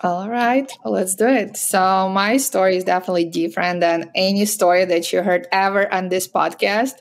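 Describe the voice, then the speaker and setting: A woman's voice: medium pitch, fairly even intonation, moderate pace. Guest: Ukrainian-accented woman in her thirties, upbeat, laughs easily, video call audio. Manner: cheerful, laughs often, simple non-native grammar. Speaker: guest, real estate agent. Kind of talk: casual interview chat. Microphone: video call, laptop camera.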